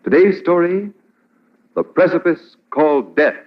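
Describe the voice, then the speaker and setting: A man's voice: fairly high pitch, a little slow. A man narrating an old-time radio drama as its announcer, in dramatic scripted style. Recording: dull, slightly noisy old radio recording.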